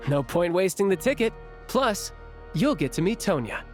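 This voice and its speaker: A man's voice: sits high, sing-song, normal speed. A man playing an easygoing young soldier in a video game, conversational and a little wry. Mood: wry, thoughtful. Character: easygoing young male soldier, dutiful older brother. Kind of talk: video game dialogue acting. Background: game music.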